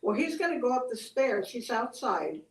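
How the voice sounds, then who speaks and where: An older woman telling stories and reflecting on them in a gentle, halting, conversational way. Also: on the high side, big pitch swings. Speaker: storyteller, host. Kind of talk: oral storytelling and reflective chat. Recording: echoey room, distant mic.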